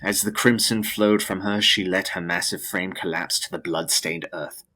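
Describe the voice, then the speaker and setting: A man with a deep, husky voice giving a dramatic scripted narration of a gothic story. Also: fairly even intonation, normal speed, bright, forceful tone.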